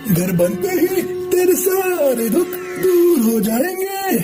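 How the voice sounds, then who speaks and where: Over loud music, an actor playing a kindly cartoon hermit bird speaks in a strikingly high voice. The sage is solemn and unhurried, handing out blessings slowly. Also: very animated, exaggerated voice. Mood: benevolent, solemn. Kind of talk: cartoon dubbing. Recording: music behind the voice.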